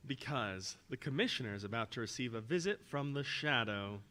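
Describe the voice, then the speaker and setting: A man playing a troubled, secretive gentleman hero in a 1930s radio drama, formal and grave. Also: mid-range voice, lively intonation, fairly quick, lightly acted.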